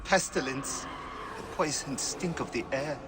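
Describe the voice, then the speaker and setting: An actor playing a weary, distraught high priest and anxious husband in a video game, mournful and faltering. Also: male voice, measured pace, music in the background, close to an everyday voice. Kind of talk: dramatic video game acting.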